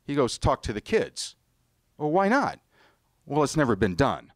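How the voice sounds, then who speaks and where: A middle-aged man with an American accent telling a rehearsed personal story on stage, with theatrical, deliberate phrasing. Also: medium pitch, big pitch swings, dry recording, studio quality. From United States. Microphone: stage microphone on a stand.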